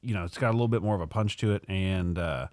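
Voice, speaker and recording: A man co-hosting a casual tasting chat in a podcast studio, talking quickly with lots of fillers. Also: low-pitched, darkish tone. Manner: quick, wordy, many fillers. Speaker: podcast co-host.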